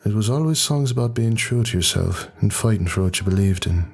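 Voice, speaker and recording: A man playing a gruff, sardonic, world-weary hard-drinking rebel in a fan audio drama. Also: lowish voice, lively intonation, fairly quick, a little mellow, full character voice. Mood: somber, reflective. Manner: sardonic, blunt.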